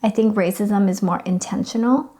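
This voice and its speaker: Woman, native Cantonese speaker, podcast co-host, thoughtful, hesitant, mid-pitched. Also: fairly even intonation, moderate pace, mellow. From China. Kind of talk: casual conversational podcast.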